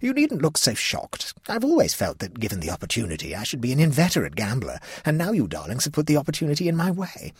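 A man's voice: medium pitch, wildly swooping pitch, quick pace, hardly any pauses, slightly rough, dry recording, studio quality, pitched above the performer's own voice, exaggerated voice. A man voicing a cheerful, self-assured old aunt who has taken up gambling. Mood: breezy.

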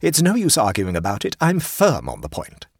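A man's voice: lowish voice, wildly swooping pitch, normal speed, slightly rough, no room sound, studio quality, pitched above the performer's own voice, exaggerated voice. A man reading an audiobook, voicing a stern, imperious older aunt who speaks coldly and firmly. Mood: indignant. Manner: cold, firm, magisterial. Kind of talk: audiobook character voice.

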